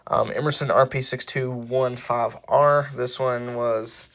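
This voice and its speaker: A man presenting his hobby collection in a casual, unscripted way, halting and often correcting himself as he goes. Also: mid-range voice, steady tone, vocal fry, fairly bright tone. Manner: halting, offhand, self-correcting. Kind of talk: casual unscripted show-and-tell.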